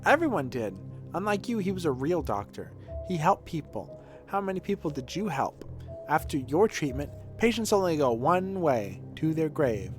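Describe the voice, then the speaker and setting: A man's voice: on the high side, big pitch swings, normal speed, faint music behind. A man reading game dialogue as a proud, accusing child apprentice, defiant and reproachful.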